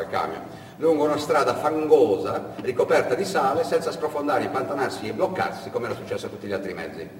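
A man narrating a dramatic stage monologue as an actor, with deliberate pauses and swells. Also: mid-range voice. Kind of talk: theatrical storytelling monologue. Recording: echoey hall, distant mic, faint noise.

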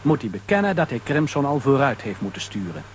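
A man narrating a children's audio drama as an animated storyteller. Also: medium pitch, fairly quick, slight background noise.

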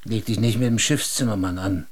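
An actor playing a gruff ship's carpenter, dry and quietly threatening, in a German radio drama. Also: male voice, on the low side, a little fast, close to an everyday voice. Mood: dry threat.

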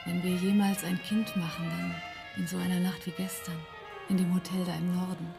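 An actress playing a sardonic, worldly smuggler's wife in a German radio play, with a husky, breathy voice. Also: female voice, fairly low pitch, flat delivery, moderate pace, over music. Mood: romantic, reproachful.

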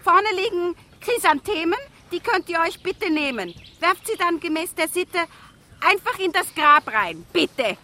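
A woman's voice: extremely high pitch, animated, unhurried, pushed and bright, full character voice. A woman playing a bossy, businesslike funeral organiser in a rhyming radio play. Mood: brisk, bossy.

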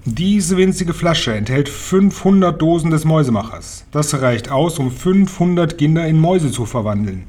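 A man's voice: fairly high pitch, animated, moderate pace, darkish tone, slightly rough. A man voicing an imperious, venomous female witch leader who declaims her orders with contempt in a storybook reading. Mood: imperious, announcing.

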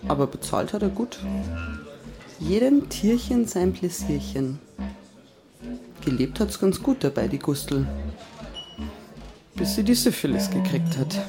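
An actress playing a gossipy, coarse working-class Munich woman with a Bavarian accent, chatting in a radio play. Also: sits low. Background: music, guitar.

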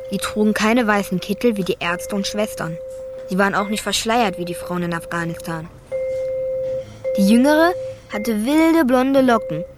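A woman's voice: mid-range voice, close to an everyday voice. Woman voicing lonely little boy telling his story, reflective, radio drama with music behind. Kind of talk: radio play narration.

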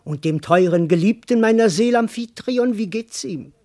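A man's voice: high-pitched, lively intonation, moderate pace, warm tone. A male actor puts on a noble princess in a classical radio comedy. The delivery is affected and courtly, full of gushing graciousness, in formal verse. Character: gracious princess Alkmene, imagined and mimicked by a servant.

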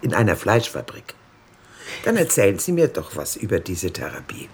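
An actress playing an older, dry and blunt police sergeant with a husky voice in a radio drama. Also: female voice, rumbling low, very animated, normal speed, darkish tone, slight background noise. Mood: dry, probing.

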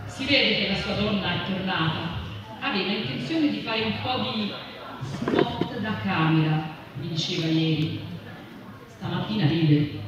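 A woman's voice: mid-range voice, a little slow, crowd noise. A woman giving a staged reading of a literary text. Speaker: reader in a workers' collective. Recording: dull, distant, very echoey, music behind. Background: music.

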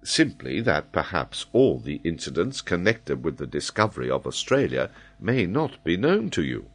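A man voicing a calm, shrewd army major in a storybook, dryly teasing with an understated, deadpan manner. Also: deep voice, normal speed, breathy edge, heavily acted.

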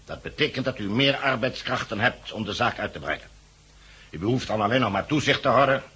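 A man's voice: mid-range voice, a little fast, sharp tone, husky. A man acting in a 1960s Dutch radio drama as a cold, officious committee envoy, formal and pompous, with a Netherlands accent. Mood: coolly insistent. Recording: muffled-edged vintage 1969 radio recording.

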